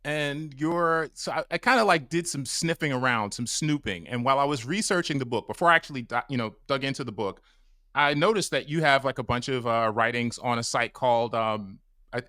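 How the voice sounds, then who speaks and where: Brief: bright, crisp male host, loose and rambling with fillers, dry close mic. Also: medium pitch, expressive, moderate pace, no room sound. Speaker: podcast host. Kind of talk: casual interview chat. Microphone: mic on a stand over a video call.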